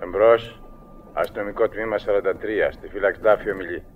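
A man's voice: on the low side, slight background noise, natural voice. An actor playing a businesslike policeman answering the phone in a clipped, official way in a radio drama. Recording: thin, tinny telephone-like band.

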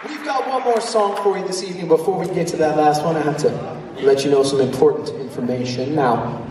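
A man's voice: fairly high pitch, brisk. A man from Colorado, a singer in a vocal group, speaking to fans from a stage. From Littleton, Colorado. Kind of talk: stage address to concert audience. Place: large indoor theater stage. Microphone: handheld mic through PA, recorded from audience. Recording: echoey concert hall from far, crowd noise.

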